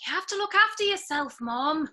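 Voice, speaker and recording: A woman's voice: high-pitched, expressive, moderate pace, pushed and bright, exaggerated voice. A woman playing a harried, wry young working mother in a script table read, with sardonic banter. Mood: exasperated, wry. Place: remote video call table read. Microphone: video call.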